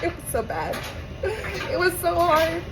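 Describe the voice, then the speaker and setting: A woman's voice: very high-pitched, big pitch swings, takes it slowly. A young woman with an Afrikaans accent, from South Africa, telling a rambling, chatty story to camera with asides and self-corrections. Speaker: vlogger. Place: home with appliances running. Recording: noisy home recording.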